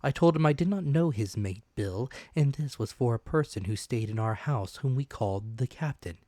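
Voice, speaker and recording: A man giving a dramatic, deliberate audiobook narration of a classic adventure tale, in expressive storytelling style. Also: mid-range voice, no echo, studio quality.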